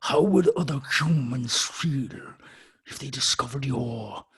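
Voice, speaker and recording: A man voicing a menacing fanged alien captain, slow and deliberate, with a raspy, gravelly voice. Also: medium pitch, fairly bright tone, exaggerated voice. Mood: cold menace.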